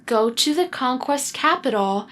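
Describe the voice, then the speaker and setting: A woman's voice: high voice, animated, unhurried, a bit bright, pitched above the performer's own voice. A woman voicing a cold, mocking woman commander in an audio drama, speaking with controlled threat. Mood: mocking, bitter. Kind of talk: audio drama character dialogue in a narrated serial.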